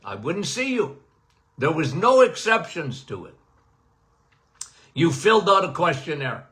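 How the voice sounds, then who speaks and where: An older man, a doctor hosting a podcast, giving a casual and emphatic health talk, punctuated by "okay?" and self-described as loud-voiced. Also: a little high, measured pace. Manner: animated, emphatic, repeats "okay?"; says he has a loud voice.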